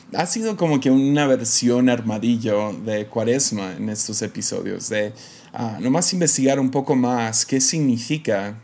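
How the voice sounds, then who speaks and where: A man's voice: medium pitch, darkish tone. A young adult man from Mexico speaking with an American accent, hosting a podcast in a casual, reflective monologue that is hesitant and full of fillers and restarts. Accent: American English. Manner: hesitant, many fillers and restarts, light laughs. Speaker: podcast host.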